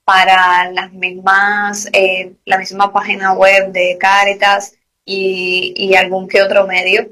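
A woman in her thirties, speaking thoughtfully in an interview, pausing often and filling gaps with soft hesitations. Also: mid-range voice, completely flat delivery. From Cuba. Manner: thoughtful, with frequent pauses and fillers. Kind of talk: reflective interview.

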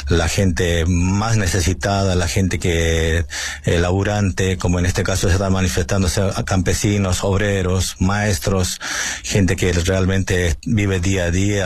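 Deep-voiced Bolivian man, earnest and halting. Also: steady tone, dry recording, clean studio sound. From Bolivia, La Paz. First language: Aymara. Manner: earnest, hesitant with many fillers. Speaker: interviewee, Bolivian migrant. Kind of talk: phone-style radio interview answers.

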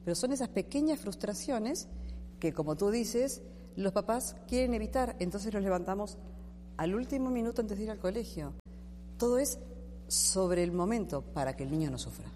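A woman's voice: medium pitch, normal speed. A woman speaking as a panel guest, explaining her points confidently. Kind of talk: discussion panel. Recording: reverberant room with steady hum.

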